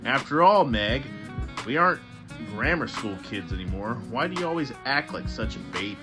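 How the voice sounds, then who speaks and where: A man's voice: mid-range voice, very animated, normal speed, over music, lightly acted. A man reading the part of a scornful, mocking schoolgirl from a storybook, with heavy emphasis.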